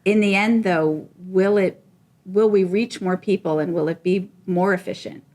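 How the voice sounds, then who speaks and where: A woman's voice: on the low side, fairly even intonation, a little slow, darkish tone. A woman co-hosting a casual professional discussion, thoughtful and wondering aloud.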